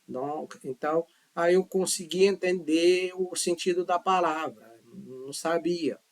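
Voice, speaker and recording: A middle-aged man giving a casual spoken lesson to his viewers. He switches easily between languages and leans on emphatic rhetorical questions. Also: fairly high pitch. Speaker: language teacher, channel host.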